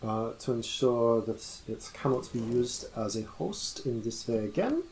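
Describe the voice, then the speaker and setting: A man voicing a formal, learned male cleric who explains things in measured, lecturing tones. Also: medium pitch, expressive, creaky, darkish tone, a little hiss or hum, rough recording. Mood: explaining.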